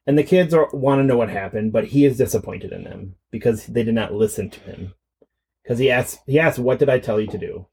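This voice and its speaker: A man's voice: mid-range voice, fairly quick, creaky, a little mellow, slightly rough. A man co-hosting a podcast in casual film discussion, conversational and thinking aloud as he goes. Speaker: podcast co-host.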